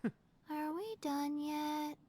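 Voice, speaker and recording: A tiny blank zombie girl from a game, high and slow, deadpan, bit of music behind. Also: a loud crowd. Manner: flat statements in short simple phrases, deadpan. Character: small undead girl, blank, dutiful, childlike. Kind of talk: game voice acting.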